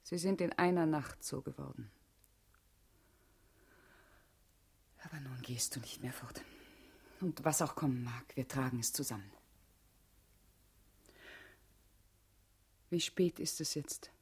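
An actress playing a guarded, urgent female party comrade in a German radio play, speaking gravely and deliberately with a husky, intimate voice. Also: very deep voice, a little mellow, heavily acted. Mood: tender.

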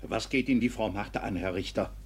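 A man's voice: on the low side, moderate pace, husky. An actor playing a stern, exasperated official in a radio play, formal and clipped as he reproves. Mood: impatient, commanding. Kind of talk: 1950s German radio play acting.

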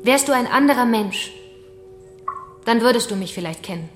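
Woman playing taunting female avenger, fairly high voice, controlled, bitterly righteous, echoey room, radio thriller. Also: animated, close to an everyday voice. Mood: cool, menacing. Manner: composed, taunting, deliberate storytelling. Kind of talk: German radio crime drama acting. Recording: echoey room with music behind.

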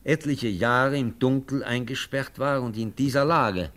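An actor in a German radio play voicing a kindly, educated professor who talks courteously and explains patiently. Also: male voice, mid-range voice, a little slow, fairly bright tone, close to an everyday voice. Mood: earnest. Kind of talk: German radio drama acting.

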